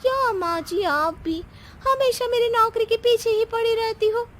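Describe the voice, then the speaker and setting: A woman's voice: very high-pitched, a little slow, fairly bright tone. A woman playing a timid, nagging younger Indian woman in a comic cartoon story, pleading and complaining. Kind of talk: comic Hindi animated story acting.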